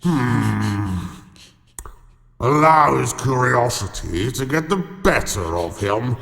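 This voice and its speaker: A man's voice: mid-range voice, expressive, slow, gravelly, a bit bright, full character voice. An actor playing a cruel, haughty giant master in an audio pantomime, pompous and menacing as he savours his words. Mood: scheming. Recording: long cavernous reverb.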